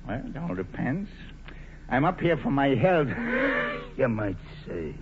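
A man's voice: mid-range voice, very animated, a little slow. A cocky, swooping New wise guy. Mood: cocky, flirtatious. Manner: slangy, cocky, wisecracking. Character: brash, flirtatious city wise guy with shady past. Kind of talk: 1940s radio play acting. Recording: muffled, hissy old radio broadcast.

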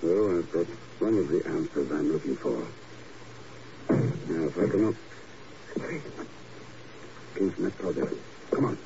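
An actor playing a cool, commanding secret agent in a 1950s radio drama, speaking in a clipped, deliberate way. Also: male voice, low-pitched, creaky. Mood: intent. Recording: muffled, hissy old radio recording.

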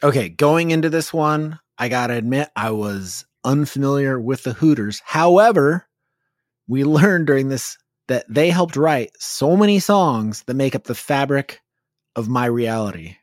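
A man's voice: medium pitch, lively intonation, a little slow, no echo, clean studio sound. A man co-hosting a podcast with casual banter. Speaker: podcast co-host.

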